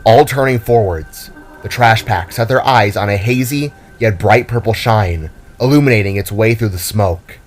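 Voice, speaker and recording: A man's voice: lowish voice, normal speed, soft background music. A man narrating a scripted fantasy story in a dramatic storytelling style.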